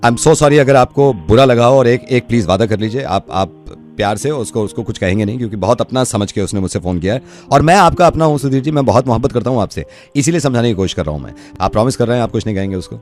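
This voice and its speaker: Delhi hindi guy on the radio, quick and swoopy, pleading and persuading, bit of music in the back. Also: medium pitch, lively intonation, a little mellow. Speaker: radio host. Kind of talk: on-air phone conversation.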